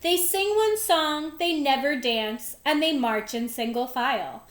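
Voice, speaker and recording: A woman's voice: high-pitched, measured pace, roomy sound. A woman reading a children's storybook aloud expressively, with dramatic, emphatic phrasing. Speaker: storybook reader.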